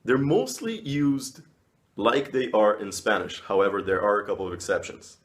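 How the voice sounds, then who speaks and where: Man with a low voice. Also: normal speed. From Brazil. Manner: friendly, explanatory, with small laughs. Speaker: language video host. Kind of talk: casual educational talk to camera.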